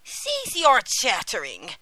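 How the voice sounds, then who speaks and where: A woman's voice: high-pitched, very animated, slow pace, pushed and bright, no echo, pitched above the performer's own voice, full character voice, low-quality recording. A woman voicing a cruel, greedy old witch from a storybook, with a scolding, menacing manner. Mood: angry scolding. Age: older. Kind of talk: storybook character acting.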